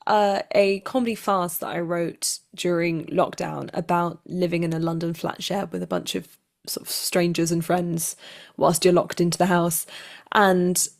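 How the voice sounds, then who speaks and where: A woman's voice: fairly low pitch, little pitch movement, a little slow, gravelly. A woman chatting casually as an interview guest, with a playful, self-deprecating manner. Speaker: interview guest, actor and writer.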